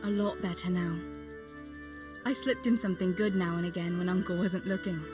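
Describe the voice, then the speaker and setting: A woman playing a caring, practical young village woman in a medieval video game, speaking gently and matter-of-factly with light teasing. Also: on the low side, fairly even intonation, moderate pace, music in the background, natural voice. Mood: friendly, chatty. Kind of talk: video game cutscene acting, natural dialogue.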